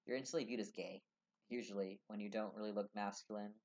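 A man's voice: on the low side, steady tone, fast, a little mellow. A young man vlogging to camera from a small room, talking earnestly and rambling with many fillers. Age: young adult. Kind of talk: casual talk to camera. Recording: dull lo-fi webcam audio.

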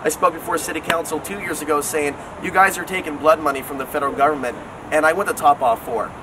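A man talks quickly and insistently about politics out on a city street at night. His voice sits a little high and his delivery is earnest and argumentative. Traffic noise is clear around him on the handheld camera recording. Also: fairly even intonation, far from the mic. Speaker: city council candidate and local TV show host. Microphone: handheld camera a step or two away. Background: city street ambience and vehicles.

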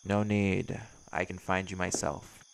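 Man playing very gravelly, menacing werewolf. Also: low voice, level tone, measured pace, a little hiss or hum, poor audio. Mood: sardonic, curt. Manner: blunt, curt, sardonic. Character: brash, menacing male werewolf hunting his quarry. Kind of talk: scripted audio roleplay acting.

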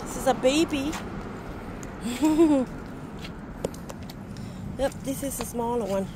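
A woman's voice: high voice, slow, background noise. A woman with a Vietnamese accent, a native Vietnamese speaker, chatting casually to her viewers while fishing by a river at night, laughing often. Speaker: vlogger. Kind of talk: casual vlog chat to viewers.

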